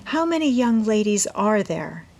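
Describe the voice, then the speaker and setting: A dignified mother from a classic storybook, voiced by a woman reader, practical and indulgent. She puts her questions in a measured, motherly way. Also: medium pitch, very animated, vocal fry, a little mellow, a little hiss or hum, voice pushed up. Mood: practical, sober.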